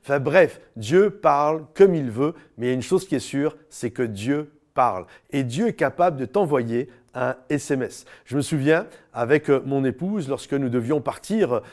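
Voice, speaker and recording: A man's voice: mid-range voice, animated, moderate pace, hardly any pauses, darkish tone. A man from France, speaking as an informal preacher and storyteller, emphatic, stretching key words and addressing listeners directly. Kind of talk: informal devotional talk with personal storytelling.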